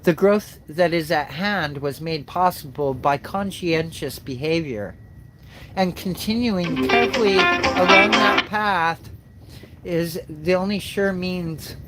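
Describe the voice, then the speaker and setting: A man with a stuffed-up nose from a cold, hosting a spiritual livestream, speaking slowly and haltingly with many fillers, then reading a passage aloud. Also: on the high side, fairly bright tone, soft background music, poor audio. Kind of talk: slow reflective spiritual talk and reading aloud.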